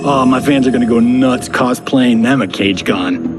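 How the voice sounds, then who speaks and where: An actor playing a cocky, flippant, wisecracking movie star in a video game cutscene. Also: male voice, mid-range voice, normal speed, close to an everyday voice. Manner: glib, wisecracking. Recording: loud music behind the dialogue.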